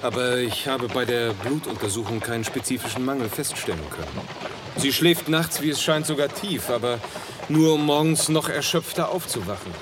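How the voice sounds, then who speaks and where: Man playing earnest, courteous gentleman doctor. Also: medium pitch, animated, noisy background, close to an everyday voice. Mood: earnest, concerned. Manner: measured, formal, earnest. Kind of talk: German radio play acting.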